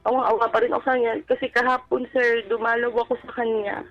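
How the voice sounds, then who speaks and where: A woman with a Filipino accent giving a personal account on a radio advice show, talking casually and politely in a halting, deferential way. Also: fairly high pitch, level tone. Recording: dull band-limited radio sound, faint music. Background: background music.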